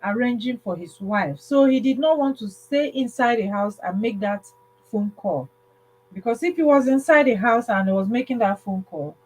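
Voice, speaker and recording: A woman hosting a livestream, casually telling a story in a halting way, repeating phrases and checking in with listeners. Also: medium pitch, slightly rough, low-quality recording. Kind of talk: casual spoken storytelling with advice.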